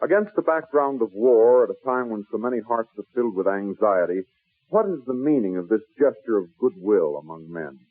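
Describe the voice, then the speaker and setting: A man's voice: fairly low pitch, lively intonation, fairly quick. A man reads a scripted 1940s radio announcement in a solemn, formal, oratorical way. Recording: tinny, muffled 1940s radio broadcast recording.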